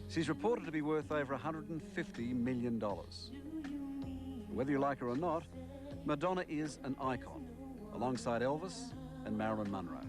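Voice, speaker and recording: Aussie guy doing tv doc voiceover, medium pitch, music playing under him. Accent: Australian. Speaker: TV interviewer and narrator. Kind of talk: scripted documentary narration.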